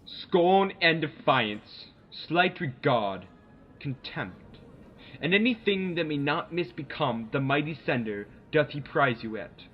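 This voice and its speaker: A man playing a proud English duke, an envoy delivering a king's threats in declamatory, deliberate Shakespearean radio theater. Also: on the high side, lively intonation, a little hiss or hum. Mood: menacing, scornful. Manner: declamatory, deliberate, dramatic pauses. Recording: dull lo-fi amateur audio.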